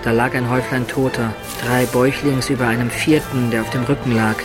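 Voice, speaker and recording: A seasoned storyteller adventurer played by a man narrates a radio play in the first person. The voice is medium in pitch and measured. Loud music runs underneath. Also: flat delivery, lightly acted. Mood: grave. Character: male adventurer recounting his own past exploits.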